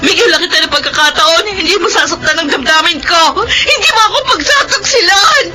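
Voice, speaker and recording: A woman's voice: very high-pitched, animated, fairly quick, shouting, over loud music. An actress with a Filipino accent playing a wounded, fiery betrayed wife and mother in an emotional melodrama. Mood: furious, tearful. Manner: emotional, dramatic delivery.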